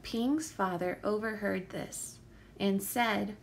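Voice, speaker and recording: A woman reads a children's story aloud at an unhurried pace. Her mid-pitched voice has a noticeable fry, and she places her emphasis carefully, like a teacher with a picture book. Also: slight background noise.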